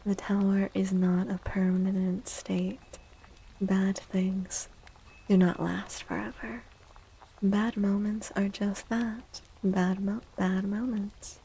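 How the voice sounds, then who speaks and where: A soft-spoken woman hosting an ASMR livestream, gentle and unhurried, with a breathy, often whispered voice. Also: mid-range voice, little pitch movement, poor audio. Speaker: livestream host and tarot reader.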